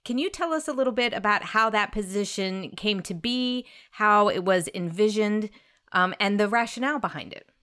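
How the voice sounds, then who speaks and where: A woman's voice: mid-range voice, animated, moderate pace, pushed and bright, clear, resonant tone. A woman interviewer speaking conversationally, encouraging her guests and feeling her way through questions. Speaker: interviewer, host.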